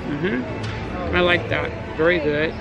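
A young man with a hoarse, dry voice chatting casually through a food review vlog, filmed at arm's length outdoors at a theme park. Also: fairly high pitch, takes it slowly, muffled recording. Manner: chatty, restarts and self-corrections. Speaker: vlogger. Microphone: handheld camera at arm's length. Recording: loud crowd and music behind.

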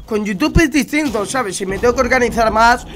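Young man, Spanish accent, stuffy cold voice, high-pitched, chatty slangy gamer talk, headset mic. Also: expressive, brisk, fairly bright tone. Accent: Spanish from Spain. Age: young adult. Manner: chatty, slangy, addresses viewers directly. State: congested with a cold. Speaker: gaming YouTuber. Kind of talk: casual gameplay commentary. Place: home room at a gaming setup.

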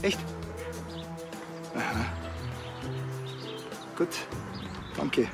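Dry Bavarian cop, music behind. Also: male voice, very slow. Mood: matter-of-fact. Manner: dry, terse. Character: deadpan, bossy male Bavarian village policeman. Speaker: policeman character. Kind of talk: naturalistic TV crime comedy acting.

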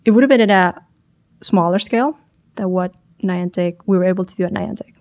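A woman's voice: mid-range voice, fairly quick, a little mellow. Thoughtful woman guest in a podcast studio. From Chile. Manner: thoughtful, hesitant, many restarts and self-corrections. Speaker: startup founder guest. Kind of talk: podcast interview. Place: small studio room. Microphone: studio boom mic.

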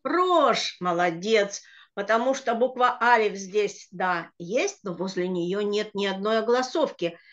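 A woman's voice: medium pitch, animated, measured pace. A middle-aged woman teaching a children's reading lesson over a video call, explaining word by word with patience. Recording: dull lo-fi video call audio.

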